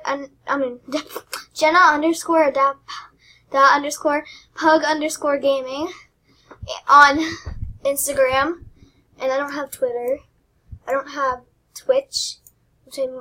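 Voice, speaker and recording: A young woman with a high, squeaky voice talks over her gameplay at a desktop mic. She rambles, giggles and mocks herself as she goes, often trailing off into quiet asides. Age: teens. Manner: rambling, giggly, self-deprecating. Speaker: gaming channel host. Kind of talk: casual gameplay commentary.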